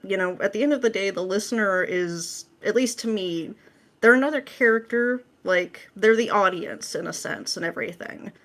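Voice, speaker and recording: A woman's voice: mid-range voice, moderate pace, a bit bright. A woman speaking as a podcast guest in a casual, candid, chatty and informal way. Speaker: podcast guest, script writer. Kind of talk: casual interview chat.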